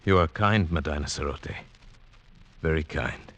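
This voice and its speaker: Gentle lovestruck male outcast, very low husky voice, tender halting delivery, old radio drama. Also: animated, dull audio, exaggerated voice. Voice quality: soft. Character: lovestruck sighted outsider, gentle man scorned as village idiot. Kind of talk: 1940s radio drama acting.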